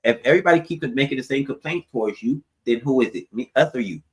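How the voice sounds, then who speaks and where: A man with a squeaky voice, arguing as a podcast guest and defending himself, going from calm to loud. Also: quick pace, husky. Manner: defensive, swings between quiet and loud. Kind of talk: heated debate.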